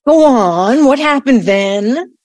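A woman's voice: on the high side, lively intonation, slow pace, darkish tone, no room sound. A woman in an audio play acting a defensive, indignant grown daughter with a challenging, exasperated delivery. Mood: reluctantly curious.

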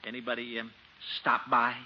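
An actor playing a pompous, flustered middle-aged uncle, stammering and blustering with nervous chuckles in a 1950s radio sitcom. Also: male voice, medium pitch, monotone, normal speed, close to an everyday voice. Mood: feigned nonchalance. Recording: dull, faintly noisy old radio recording.

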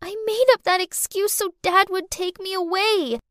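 Woman voicing a bratty little girl, squeaky high. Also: steady tone, moderate pace, fairly bright tone, no echo, clean studio sound. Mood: pleading confession. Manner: pushy, childish pleading. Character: bratty, scheming little girl, demanding and whiny.

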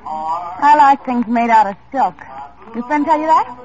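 A woman's voice: high-pitched, animated, unhurried. An actress playing a cool, world-weary young woman bar hostess in a 1950s radio drama, curt and guarded. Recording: muffled old radio recording with music.

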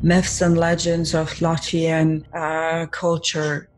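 A woman playing a tabletop roleplaying game, improvising in character with hesitant, halting phrasing. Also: low-pitched, monotone.

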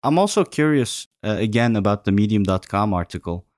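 Casting note: male host, low dry voice, short curious questions. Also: animated, a little fast, a little mellow, no room sound. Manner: brief questions and back-channel responses. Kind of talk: casual interview.

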